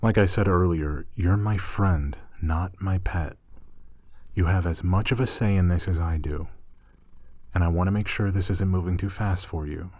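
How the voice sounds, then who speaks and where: A man acting an affectionate, teasing roommate in an intimate ASMR roleplay, soft-spoken and playful. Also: low voice, normal speed, dry recording. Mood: tender, teasing. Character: affectionate, teasing male roommate gently pampering a shy catgirl.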